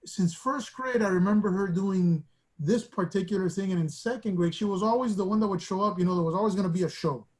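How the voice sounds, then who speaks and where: A man's voice: high voice, fast, darkish tone, rough recording. A man from Miami, a guest artist, telling his story in a reflective, rambling way with many restarts. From Miami, United States. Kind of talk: casual interview storytelling.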